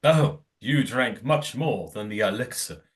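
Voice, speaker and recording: A man's voice: medium pitch, lively intonation, measured pace, lightly acted. A man playing a tipsy, genteel manor host in an audio play, speaking in a formal, droll way. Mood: dismissive, reassuring.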